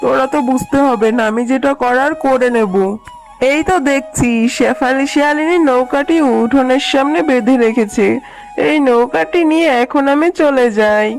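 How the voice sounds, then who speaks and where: A selfish, scheming tigress aunt from a children's cartoon, acted by a woman. The voice is high, mocking and brusque, quick to scold and dismiss. Music is clearly heard behind it. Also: poor audio. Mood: brusque, sly.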